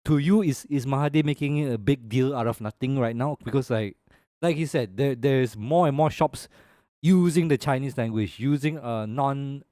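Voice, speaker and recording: A young Malaysian man chatting on a podcast, easygoing and opinionated, tagging "lah" onto his points. His voice sits at an ordinary middle pitch and sounds close and dry, with no room echo at all. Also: moderate pace. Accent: Malaysian English. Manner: relaxed and opinionated, ends phrases with "lah". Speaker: podcast co-host. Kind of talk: casual podcast chat.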